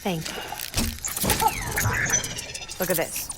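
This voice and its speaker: A woman playing a weary, gentle young scientist mother in a cinematic video game, conversational and low-key with a dry confidence. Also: very slow, heavy background noise. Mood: matter-of-fact. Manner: conversational, low-key, explains technical matters. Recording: game music behind the voice.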